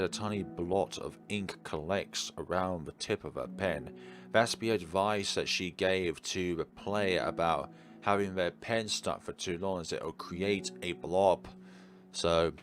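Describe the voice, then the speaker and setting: A man's voice: sits low, fairly bright tone. A man reading a visual novel aloud and commenting on it in a theatrical, slightly formal way over game music. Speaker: video game playthrough host. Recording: music behind the voice.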